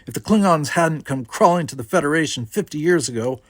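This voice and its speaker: A man's voice: a little high, animated, fairly quick, dry recording, clean studio sound, pitched above the performer's own voice. A man voicing a belligerent young alien cadet in an audiobook, taunting and aggressive. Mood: sneering. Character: belligerent young male alien Brickar cadet, sneering and relentless.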